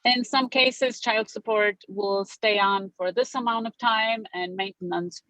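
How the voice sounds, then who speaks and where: A woman's voice: medium pitch, steady tone, a little slow, a bit bright, no room sound, rough recording. A middle-aged woman born in Romania, a realtor guest chatting casually in an interview, gracious and full of fillers.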